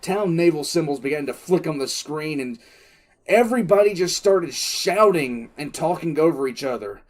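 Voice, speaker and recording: A man playing a grim, shaken military commissar who recounts events in a halting, reflective way for an audio drama. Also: fairly high pitch, expressive. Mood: tense, haunted. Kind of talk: dramatic first-person audio drama narration.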